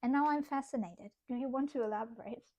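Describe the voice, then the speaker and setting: A woman's voice: sits high, fast, a little mellow. Chatty German woman streaming crafts. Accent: German, with some British English. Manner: chatty, laughs often, talks to herself while working. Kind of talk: casual craft livestream chat, reading chat aloud.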